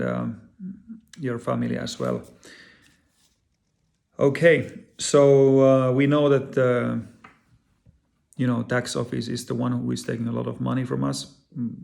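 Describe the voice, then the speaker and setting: A man with a Finnish accent, his voice deeply gravelly and crackling at mid pitch. He speaks English as a second language, earnest and halting, often pausing on fillers and repeating words as he addresses his viewers directly. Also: a little mellow. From Finland. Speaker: vlogger. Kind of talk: personal direct-to-camera talk.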